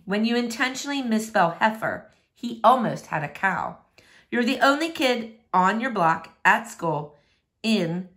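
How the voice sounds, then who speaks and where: A woman's voice: mid-range voice, a bit bright. Woman reading a verse novel aloud. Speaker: read-aloud reader.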